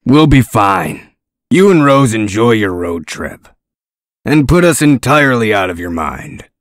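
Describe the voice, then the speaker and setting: A man playing a gruff, bossy, sardonic lawman in a comic dub. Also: on the low side, very animated, measured pace, no echo, clean studio sound. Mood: reassuring.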